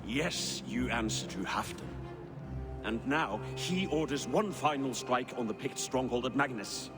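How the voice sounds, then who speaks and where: An actor playing a cunning, secretive Viking war chieftain who talks in a conspiratorial, commanding way. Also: male voice, mid-range voice, expressive, a little slow, over music, close to an everyday voice.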